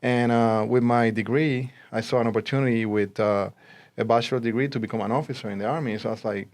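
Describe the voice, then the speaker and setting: A man in his forties with a Puerto Rican accent speaks as an interview guest. His pitch sits in the middle range for a man. He talks in a conversational way, telling his own story. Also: fairly even intonation, fast talker, a little mellow. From Puerto Rico. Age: 40s. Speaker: interview guest, realtor and army veteran.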